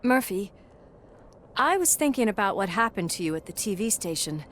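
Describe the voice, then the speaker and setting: A caring police partner. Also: female voice, mid-range voice, big pitch swings, moderate pace, a little hiss or hum. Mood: earnest. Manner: warm, teasing, earnest. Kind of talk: video game cutscene acting.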